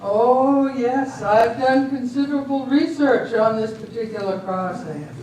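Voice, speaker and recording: A woman in an amateur stage reading, playing a composed, decisive government official with a measured, formal delivery. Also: on the high side, mellow, lightly acted. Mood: explanatory, assured. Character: composed, decisive female official judging a border dispute. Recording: echoey hall, distant mic, faint noise.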